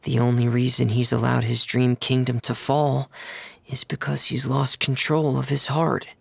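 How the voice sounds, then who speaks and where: A man voicing a shy, dreamy young male diver in a video game, speaking softly and earnestly with some hesitation. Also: medium pitch, flat delivery, moderate pace, gravelly, slightly rough, dry recording. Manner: soft-spoken, hesitant, earnest. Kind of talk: video game character dialogue.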